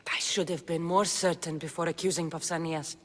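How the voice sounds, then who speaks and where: A woman's voice: on the low side, fairly even intonation, a little fast, a little hiss or hum, natural voice. An actress playing a resolute, guarded young Greek warrior woman in a video game, speaking in a firm, earnest way. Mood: grave, firm. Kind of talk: dramatic video game acting.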